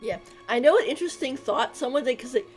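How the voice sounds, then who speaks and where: A woman streams a game with casual, off-the-cuff commentary. Her voice is high, her reactions giggly and effusive, and game music plays behind her. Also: expressive, fast.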